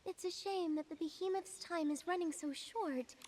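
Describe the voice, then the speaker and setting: A woman playing a gentle, poetic wandering bard in a video game, speaking in a lyrical, unhurried storytelling manner. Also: very high voice. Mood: wistful, tender. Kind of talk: scripted video game voice acting.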